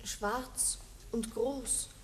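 A woman playing a timid, earnest little farm girl in a 1960s radio drama, hesitant and breathy. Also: fairly high pitch, fairly even intonation, extremely slow, crowd noise. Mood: timid, anxious. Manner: hesitant, hushed, simple short answers.

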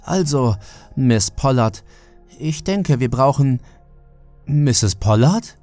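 A man's voice: mid-range voice, lively intonation, slow, a little mellow, faint music behind, pitched above the performer's own voice. A man voicing a worried, exasperated doctor who speaks firmly to a patient in a horror audio story. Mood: polite, puzzled. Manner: urgent, commanding.

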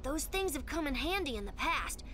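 A woman's voice: high-pitched, big pitch swings, moderate pace, sharp tone, slight background noise, full character voice. A woman voicing an earnest, clever young boy fox sidekick in a video game, explaining things eagerly. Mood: eager, encouraging. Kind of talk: animated video game acting.

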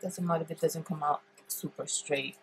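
A woman's voice: deep voice, steady tone, normal speed, a little hiss or hum. A woman with a congested, stuffy voice, chatting her way through a paper craft demonstration at her table, thinking aloud with frequent ums. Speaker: paper craft tutorial host. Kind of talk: relaxed step-by-step craft demonstration.

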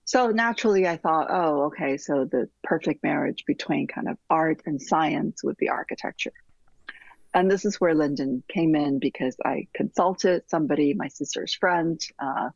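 A middle-aged woman from Taiwan speaks slowly and reflectively, with frequent restarts. Her voice has a gravelly, husky texture. The call audio sounds dry and slightly dull. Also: low voice, dry recording. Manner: thoughtful, many restarts and soft trailing fillers. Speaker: architect guest. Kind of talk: reflective interview answers. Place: home room with bookshelves, remote call.

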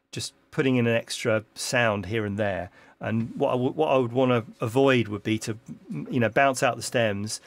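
A man's voice: mid-range voice, vocal fry, no echo. A middle-aged man hosting a live stream in his home studio, casually explaining how he builds a track, with frequent ums and restarts. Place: home music studio with keyboard and computer. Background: faint synth music from the session.

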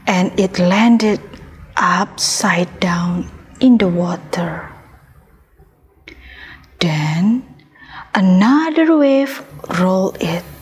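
A woman narrating a scripted children's story as a puppet show storyteller, in a deliberate manner. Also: fairly low pitch, lively intonation, breathy edge. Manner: slow, deliberate storytelling with repeated phrases drawn out.

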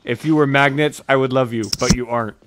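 A man's voice: medium pitch, fairly even intonation, quick pace, background noise. A young man on a livestream at home, reading absurd comedy scripts aloud. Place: dim room at home.

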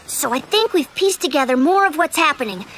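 A woman's voice: high voice, animated, brisk, background noise. A woman voicing an earnest, brainy young boy fox sidekick in a video game cutscene, explaining things in a boyish way. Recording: background music under dialogue.

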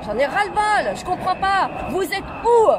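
A woman in her forties from France, speaking in an impassioned, indignant street interview with rapid rhetorical questions, recorded outdoors on a city street with a close handheld camera. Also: very high voice, sing-song. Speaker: protester. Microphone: handheld camera close to face. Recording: loud street crowd and traffic noise. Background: crowd chatter, traffic.

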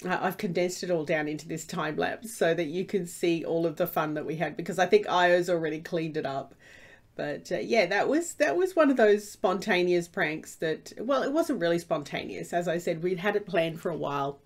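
Stuffy-voiced woman gamer, lowish pitch. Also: normal speed. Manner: rambling, many fillers and restarts. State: stuffy from a cold. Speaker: Minecraft let's play host. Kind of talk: casual gameplay commentary over a time lapse.

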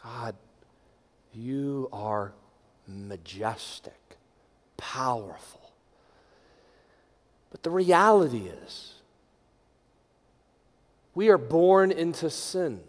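A middle-aged man, a pastor leading a congregation in prayer from a lectern, moving between hushed, near-whispered phrases and strong, raised proclamation. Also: mid-range voice, extremely slow, long pauses. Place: large church sanctuary. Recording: long church reverb.

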